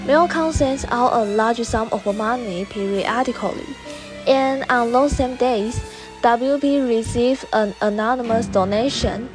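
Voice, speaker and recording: A woman as female private detective, fairly high voice, amateur radio drama, music behind. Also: unhurried, lightly acted. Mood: businesslike.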